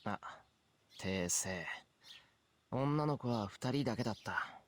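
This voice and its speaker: Teenage schoolboy played by a male actor, visual novel role. Mid pitch, casual muttering, faint birdsong behind. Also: animated, moderate pace, a little hiss or hum, natural voice. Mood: sheepish. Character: casual, mildly flustered teenage schoolboy thinking aloud. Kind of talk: Japanese visual novel voice acting.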